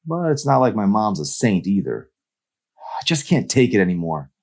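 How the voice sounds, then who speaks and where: Man reading as an exasperated teenage girl, pitch jumping up. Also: very animated, normal speed, a little mellow. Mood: fed up. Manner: emphatic, exasperated delivery. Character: exasperated, sarcastic teenage girl, hurt and snappish.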